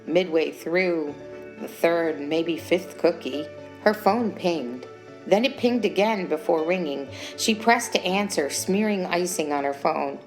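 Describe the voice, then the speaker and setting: Low-pitched woman narrating a short story. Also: a little slow, low-quality recording. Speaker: author reading own short story. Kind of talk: scripted audiobook narration. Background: music.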